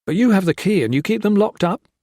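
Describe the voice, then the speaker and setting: A man's voice: high-pitched, animated, quick pace, darkish tone, dry recording, studio quality, voice pushed up. A man doing a stern, relentless lieutenant. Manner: firm, relentless, cold. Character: stern, relentless male army lieutenant, unwell.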